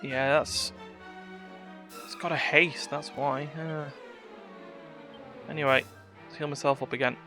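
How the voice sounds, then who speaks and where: A man's voice: mid-range voice, level tone, unhurried, pauses often. A man giving live gameplay commentary, talking to himself and reacting as he plays. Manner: muttering to himself and reacting to play. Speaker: game streamer. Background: game music.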